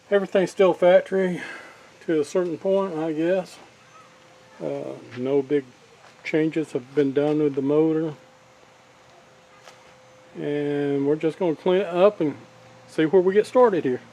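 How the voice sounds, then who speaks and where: A man's voice: fairly high pitch, dark tone. Casual man narrating a car repair. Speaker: DIY car repair video host. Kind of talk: casual hands-on how-to narration.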